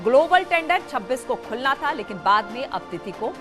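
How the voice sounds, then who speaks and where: A woman anchoring a television news bulletin in a high-pitched voice. She reads formally and emphatically, repeating the headline points. A clear music bed plays under her voice. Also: big pitch swings, normal speed. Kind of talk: broadcast news reading.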